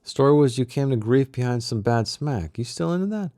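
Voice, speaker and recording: Man reading as a mellow stoner detective. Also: medium pitch, expressive, a little fast, no room sound, studio quality, voice pushed up, lightly acted. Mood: probing. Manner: casual, questioning slang. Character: laid-back, curious male stoner private detective.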